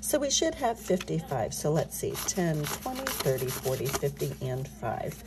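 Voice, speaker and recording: A middle-aged woman talking softly while filling cash envelopes at her home desk, her voice a bit scratchy from just waking up. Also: low-pitched, big pitch swings, moderate pace. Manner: soft-spoken, talking softly. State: slightly scratchy morning voice. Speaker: budgeting channel host. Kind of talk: casual chat while stuffing cash envelopes.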